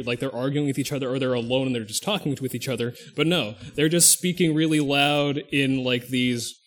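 A man co-hosting a podcast in casual chat, rambling and often stopping to correct himself mid-sentence. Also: a little high. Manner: rambling, thinks aloud, restarts and self-corrects often. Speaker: podcast co-host.

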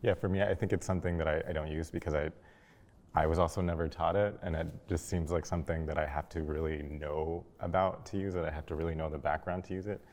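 Deep-voiced man hosting, heavy room reverb. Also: fairly even intonation, quick pace, darkish tone. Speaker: panel host. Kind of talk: conversational discussion moderating. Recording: long hall-like reverb.

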